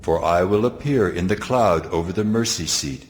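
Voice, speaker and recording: Man acting deep God voice in vast reverb. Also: moderate pace, a little hiss or hum, lightly acted. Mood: solemn, commanding. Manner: solemn, authoritative. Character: God, solemn commanding male divine voice. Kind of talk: dramatized scripture reading. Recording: long cavernous reverb, music underneath. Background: music.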